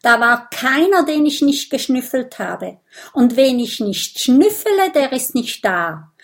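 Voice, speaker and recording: A tiny burrowing dragon from a children's storybook, as a woman reader puts him on, speaks in a high, excitable voice. He is puffed up with self-importance, yet jittery and quick to stammer. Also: full character voice. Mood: boastful, chatty.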